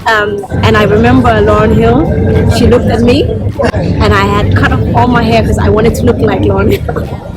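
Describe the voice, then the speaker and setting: A woman from Copenhagen, Denmark, speaking as a singer in a casual, expressive festival interview. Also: fairly high pitch, normal speed, low-quality recording. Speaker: singer and rapper being interviewed.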